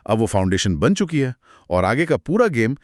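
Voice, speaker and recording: A man's voice: mid-range voice, sing-song, moderate pace, no echo, studio quality. A man co-hosting a conversational explainer, speaking in an emphatic, explanatory way with English terms mixed in. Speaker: co-host explaining business results.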